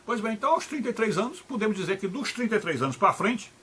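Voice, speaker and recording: An older man with a Brazilian Portuguese accent gives an unscripted video lecture, halting and repeating words and often adding tag questions. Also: sits high, very animated, sharp tone, slight background noise.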